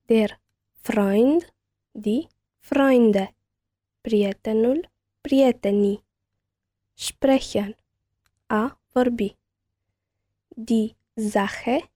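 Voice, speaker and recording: A woman reading a bilingual language-lesson dialogue and word list with careful, deliberate enunciation. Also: a little high, warm tone.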